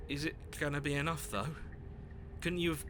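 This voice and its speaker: An actor voicing a nervous, fearful inner voice in a video game, questioning and doubtful. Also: male voice, a little high, level tone, normal speed, noisy background, lightly acted. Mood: nervous, pleading. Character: anxious, doubting male inner voice, jittery and fearful.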